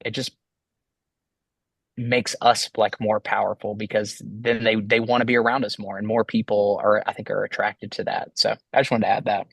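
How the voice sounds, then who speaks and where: A man's voice: fairly low pitch, flat delivery, normal speed, vocal fry, slightly rough, no echo. A man who hosts a podcast, sharing his thoughts on a group call in a rambling way, full of "like". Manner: rambling, fillers of "like". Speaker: podcast host.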